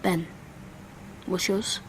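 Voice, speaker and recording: A woman's voice: extremely slow, noisy background. A woman playing an anxious, secretive twelve-year-old British schoolboy in an audio drama, answering in hesitant, clipped phrases. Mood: wary, intense. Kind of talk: naturalistic audio drama acting.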